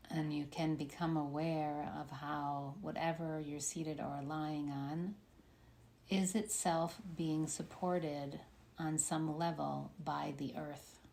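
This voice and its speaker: A woman leading a guided meditation in a soothing, unhurried manner with long pauses. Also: deep voice, flat delivery, vocal fry, mellow. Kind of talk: guided meditation, body scan. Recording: faint background noise.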